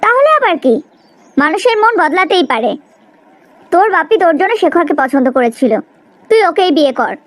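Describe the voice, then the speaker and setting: Cartoon jealous young friend, woman performer: extremely high voice, accusing, melodramatic, combative delivery. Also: big pitch swings, moderate pace, pauses often, no room sound. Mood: jealous, defiant. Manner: confrontational, dramatic.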